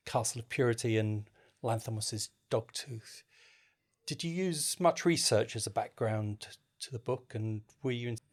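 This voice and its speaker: Male interviewer, average pitch. Prepared, measured literary questioning.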